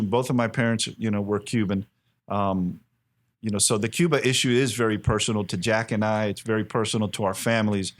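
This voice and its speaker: The earnest fifty-something American man you would hear as a company head being interviewed, making his points emphatically in a fairly deep voice. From Miami, United States. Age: 50s. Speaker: interview guest, cigar company president. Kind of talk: conversational interview, storytelling.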